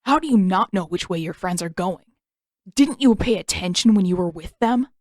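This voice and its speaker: A woman voicing a scowling teenage prince in an audiobook, terse and flat in manner. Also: fairly low pitch, moderate pace, no room sound, clean studio sound. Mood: demanding. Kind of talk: audiobook character acting.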